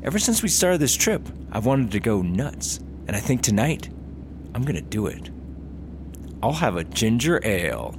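Excitable, eager young traveler, man playing, lively pitch, noisy plane-cabin background. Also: moderate pace. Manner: excitable, pitch jumps high when excited. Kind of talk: comedy audio drama acting.

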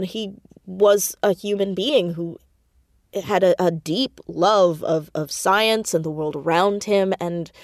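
A woman's voice: on the low side, a little mellow, no room sound, clean studio sound. A woman chatting casually and expressively as an interview guest, a creative who talks about her own work with self-mocking asides. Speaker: audio drama creator and voice actor, interview guest. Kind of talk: casual, animated interview chat.

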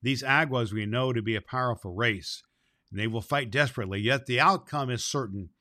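A man's voice: mid-range voice, a little fast, sharp tone, no room sound, studio quality, lightly acted. A man voicing a stern immortal forest master in a storybook, solemn and declamatory as he rallies his folk. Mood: grave, resolute.

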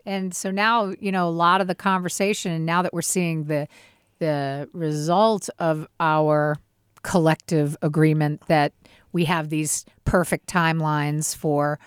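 Woman in her fifties, interview guest, lower pitch, heartfelt and flowing, dry sound. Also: fairly even intonation, unhurried, clean tone, no echo. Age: 50s. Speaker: guest, nonprofit founder. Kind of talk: conversational interview.

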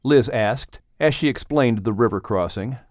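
A man's voice: medium pitch, normal speed, darkish tone, dry recording, studio quality. A man reading scripted narration for a children's audiobook.

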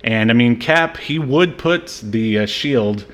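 A man's voice: mid-range voice, animated, slow. Casual, joking male podcast co-host. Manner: joking, rambling, informal slang. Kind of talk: casual movie review chat.

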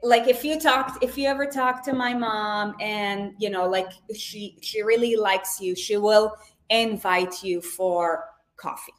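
A woman's voice: medium pitch, steady tone, a little slow. Chatty woman from Israel, lots of fillers. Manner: chatty, many fillers and restarts. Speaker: nutrition coach. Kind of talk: casual livestream talk.

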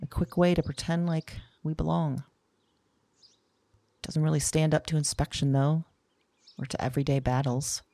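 A woman playing a weary, wry modern woman in an intimate audio drama monologue, speaking in a reflective, halting, confiding way. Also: low-pitched, steady tone, dark tone, no room sound. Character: weary, wry, candid modern woman recounting her dreams.

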